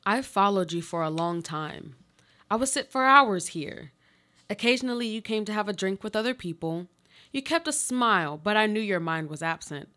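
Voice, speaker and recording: A woman acting a haunted, bright-voiced watcher. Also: medium pitch, expressive, moderate pace. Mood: watchful, intimate. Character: haunted, watchful woman clinging to existence through words. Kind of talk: live radio play monologue.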